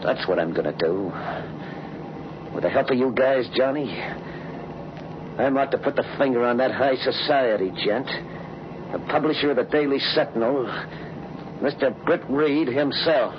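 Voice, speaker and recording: An actor playing a vengeful, jumpy paroled gangster boss in 1940s radio crime drama, talking in tough slang. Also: male voice, medium pitch, normal speed, long pauses, lightly acted. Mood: brooding, determined. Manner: slangy tough-guy talk. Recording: dull, noisy 1940s radio recording.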